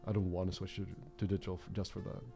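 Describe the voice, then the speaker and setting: A man's voice: sits low, completely flat delivery, rapid-fire, vocal fry, warm tone, faint music behind. A young man from Norway chatting on a drawing livestream, rambling and reflective with many fillers and restarts. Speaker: art streamer. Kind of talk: casual chat while drawing on a livestream.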